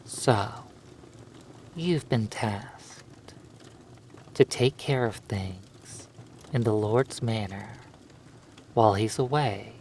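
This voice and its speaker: A man narrating a hypnosis roleplay in a soothing, lulling manner, leaving long pauses between phrases. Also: fairly low pitch, darkish tone, a little hiss or hum.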